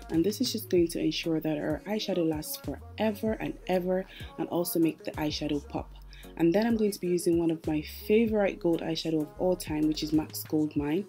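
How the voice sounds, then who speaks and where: A woman with a distinctly low, mellow voice narrates a makeup tutorial. She is chatty and explanatory, talking casually to her viewers as she walks through the steps. Light music plays behind her. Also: brisk. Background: background music.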